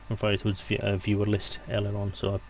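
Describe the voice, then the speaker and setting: A man's voice: on the low side, completely flat delivery, quick pace, creaky. A man hosting a live stream in casual, unscripted, rambling chat.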